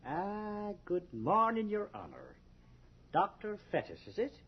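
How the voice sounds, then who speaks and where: An actor playing a wheedling, falsely humble Irish body snatcher with menace underneath, in a 1980s radio drama. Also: male voice, sits high, huge pitch swings, takes it slowly, very noisy, exaggerated voice. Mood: oily politeness. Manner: obsequious, wheedling, veiled threats. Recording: dull lo-fi radio broadcast.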